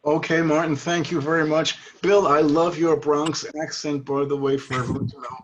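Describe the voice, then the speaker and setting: A man's voice: a little high, level tone, normal speed, noisy background. A man with a Brooklyn accent chairing a meeting on a video call, genial and formal. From New York.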